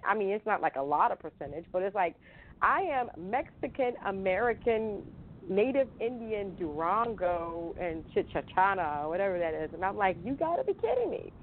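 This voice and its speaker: A woman chatting casually on a podcast, animated and exclamatory, who repeats herself for emphasis. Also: mid-range voice. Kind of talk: casual chat. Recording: tinny phone-line sound.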